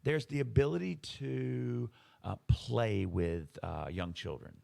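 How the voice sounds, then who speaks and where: A man's voice: mid-range voice, slow pace, creaky, warm tone. A man co-hosting a podcast, explaining ideas in casual conversation, emphatic and fond of rhetorical questions. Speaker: podcast co-host.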